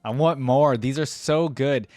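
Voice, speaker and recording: A man hosting a reaction video, giving casual, musing commentary in a halting way. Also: mid-range voice, darkish tone, no room sound, clean studio sound. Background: faint music.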